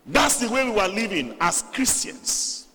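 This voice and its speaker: Emphatic Nigerian Yoruba preacher, high lively voice. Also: male voice, a little slow. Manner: emphatic, rising to loud stressed phrases, then dropping low. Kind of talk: sermon. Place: church hall. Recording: reverberant hall.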